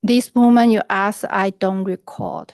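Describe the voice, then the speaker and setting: A woman whose first language is Cantonese, testifying as a witness over a video call, speaking haltingly and searching for words. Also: medium pitch, fairly even intonation, darkish tone, no echo, studio quality. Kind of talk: court testimony.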